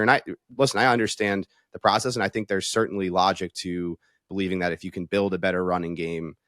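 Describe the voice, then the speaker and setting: A man's voice: low voice, a bit bright, dry recording, clean studio sound. A man reporter giving casual sports analysis as a panel guest, explaining his argument point by point.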